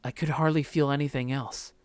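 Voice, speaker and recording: A let's play host reading a visual novel aloud dramatically, with slangy, expressive casual commentary. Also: mid-range voice, brisk, clean tone, dry recording.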